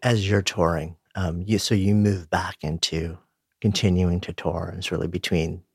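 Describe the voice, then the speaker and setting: A man's voice: low-pitched, flat delivery, normal speed, breathy edge, dry recording, studio quality. A man hosting an interview, asking thoughtful, probing questions. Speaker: interview host. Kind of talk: interview conversation.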